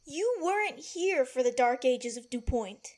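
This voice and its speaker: A woman's voice: high voice, expressive, measured pace, a little mellow. A woman acting a feisty, protective palace servant in an audio drama, blunt and emphatic. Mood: shocked, exasperated.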